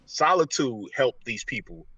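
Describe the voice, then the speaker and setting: A man's voice: mid-range voice, sing-song, unhurried, bright, forceful tone, slightly rough, no room sound. An American man talking casually and motivationally as an interview guest, slangy and often checking that the listener follows. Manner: slangy, repeats "you know what I'm saying". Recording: slightly distant, dull lo-fi sound.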